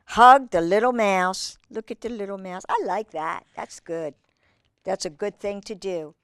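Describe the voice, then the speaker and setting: A woman hosting a children's storytime, reading aloud with drawn-out emphasis and chatting in asides to young listeners. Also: mid-range voice, a bit bright, clean tone, no echo, studio quality. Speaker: host reading picture books to children.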